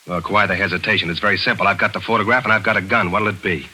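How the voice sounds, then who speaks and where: A man's voice: lowish voice, fairly even intonation, very fast, pushed and bright, slight background noise, poor audio. An actor playing a cynical, hard-boiled American man in 1940s radio acting style, clipped and tough. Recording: old radio broadcast.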